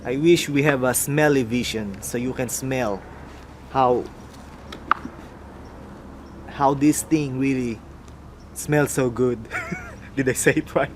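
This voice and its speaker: A young Filipino man with a Filipino accent drawls through a casual vlog, unhurried and a bit mumbly. His voice sits in an ordinary middle register, and a faint hiss of background noise lies under it. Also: medium pitch. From Philippines. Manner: slow, mumbling, musing aloud. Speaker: motorcycle vlogger. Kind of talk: casual product unboxing vlog.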